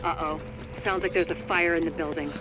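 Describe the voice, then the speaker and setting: A woman playing a steady agent handler in a video game, guiding an operative in a businesslike, dryly encouraging way. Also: sits low, brisk, over music, close to an everyday voice. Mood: focused. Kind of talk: scripted game dialogue, radio guidance. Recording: noisy game ambience behind.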